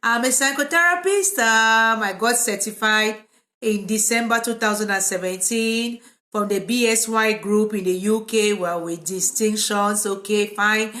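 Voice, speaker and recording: A woman's voice: mid-range voice, measured pace. Cameroonian woman chatting in an echoey room. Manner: relaxed, informal, conversational. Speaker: psychotherapist hosting a live video. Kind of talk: informal live vlog, casual explaining to camera.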